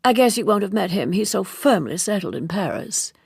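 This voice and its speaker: A woman's voice: medium pitch, big pitch swings, a little fast, creaky, a little mellow, breathy edge, no room sound, clean studio sound, exaggerated voice. A woman voicing an earnest, opinionated young American woman in an audiobook novel, prim and gossipy. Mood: chatty.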